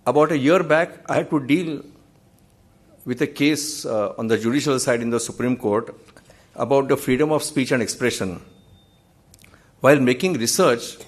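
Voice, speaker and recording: A man in his sixties with an Indian English accent, speaking at a podium microphone on the stage of a large auditorium. He delivers a formal memorial lecture in a measured, earnest way, with dry humor in his anecdotes. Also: mid-range voice. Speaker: judge giving a memorial lecture.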